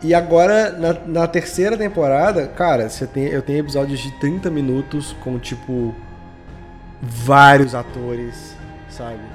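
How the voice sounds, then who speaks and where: A man's voice: medium pitch, a little slow, faint music behind. A Brazilian man chatting casually as a podcast guest, explaining his creative work in informal, slangy speech. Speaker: podcast guest, audio drama creator and editor. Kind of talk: casual interview chat.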